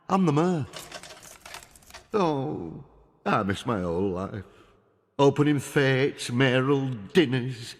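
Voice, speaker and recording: A man's voice: fairly high pitch, a bit bright, long reverb tail, heavily acted. A man playing an anxious, blustering village mayor with a Northern English accent and folksy, dramatic manner in a video game cutscene. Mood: pleading, sorry. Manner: dramatic, exclamatory, folksy dialect.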